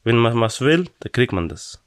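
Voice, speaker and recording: A man's voice: mid-range voice, big pitch swings, clean tone, no echo. Guy in his 20s from afghanistan, not a native speaker, laughs a lot, dry close sound. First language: not German. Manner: laughs easily, halting non-native German. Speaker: guest. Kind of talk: casual interview chat.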